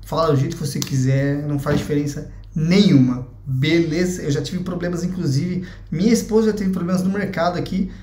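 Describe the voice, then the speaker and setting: A man's voice: fairly high pitch, fairly even intonation, brisk, hardly any pauses, a little mellow, slight background noise. A young Brazilian man with a Brazilian Portuguese accent, giving his opinion in a blunt, chatty vlog and swearing freely. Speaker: vlogger. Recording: echoey room.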